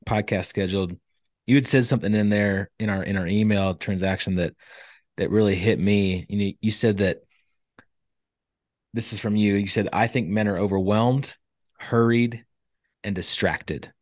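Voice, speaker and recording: A man hosting a podcast, asking questions in a measured, thoughtful way. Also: fairly low pitch, fairly even intonation, no room sound, studio quality. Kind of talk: interview question.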